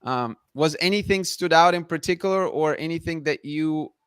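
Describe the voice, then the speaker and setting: Male host of a live online show, smooth clear voice, dry close sound, upbeat with viewers. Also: fairly high pitch, normal speed, no echo. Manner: upbeat, greets viewers by name. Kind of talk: live stream hosting and interviewing. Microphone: video call.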